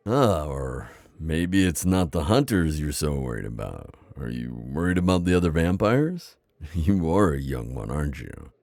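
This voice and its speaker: A man playing a kindly, worldly old vampire innkeeper in an intimate ASMR roleplay, with a deep, gravelly voice and a hospitable, chatty manner. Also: very animated, unhurried, a little mellow, no echo, clean studio sound, exaggerated voice. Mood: gentle, wise.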